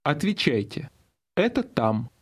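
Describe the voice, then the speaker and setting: A man's voice: a little high, big pitch swings, slow pace, slightly rough. A man narrating a scripted language lesson as the instructor, giving prompts in an instructional manner.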